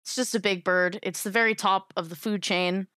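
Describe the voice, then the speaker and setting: A woman's voice: mid-range voice, steady tone, moderate pace, pushed and bright, dry recording, clean studio sound. A young woman appearing as a scientist guest on a podcast, chatting in a playful, informal way. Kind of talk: casual chat.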